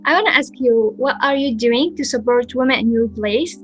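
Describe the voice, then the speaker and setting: A woman's voice: a little high, normal speed, soft background music. A woman hosting a podcast interview, asking questions and encouraging her guest. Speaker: podcast host. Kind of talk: casual interview.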